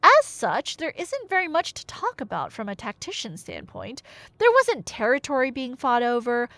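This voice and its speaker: A young woman retelling a tale as a chatty, theatrical storyteller, with emphatic delivery, dry humor and dramatic pauses. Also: high voice, wildly swooping pitch, a bit bright, dry recording. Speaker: audio storyteller playing a nerdy friend. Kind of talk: casual dramatic retelling of myth, as ASMR roleplay.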